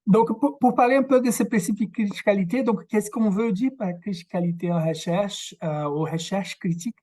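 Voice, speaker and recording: A man from Brazil with a Québécois French accent and Portuguese as his first language, giving a workshop lecture over a video call, halting and searching for words. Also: high voice, dark tone. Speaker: guest lecturer, researcher. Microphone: video call microphone.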